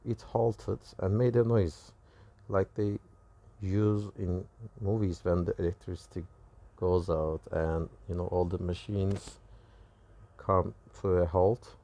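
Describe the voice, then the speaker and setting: Low, creaky-voiced man with a Turkish accent. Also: steady tone, takes it slowly, mellow. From Turkey. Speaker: religious video creator. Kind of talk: personal testimony recounting dreams and visions.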